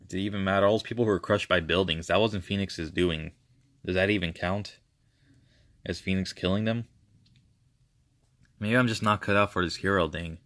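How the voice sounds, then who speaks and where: A man's voice: low voice, moderate pace, pauses often, fairly bright tone, dry recording. A man playing a weary young male superhero who doubts himself, in a subdued, brooding monologue for a story. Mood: brooding, doubtful.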